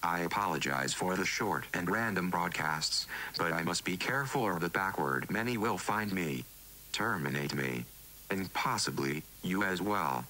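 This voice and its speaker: A man playing a wary, earnest underground resistance broadcaster, reading scripted analog horror narration in a deliberate, cryptic way. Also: deep voice, animated, sharp tone, low-quality recording. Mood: guarded warning. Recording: faint hiss and noise.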